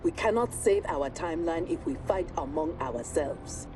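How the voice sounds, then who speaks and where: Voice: medium pitch, expressive, close to an everyday voice. Actor voicing a formal warrior, distant, music under it. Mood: stern. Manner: formal, measured. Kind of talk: video game cutscene acting.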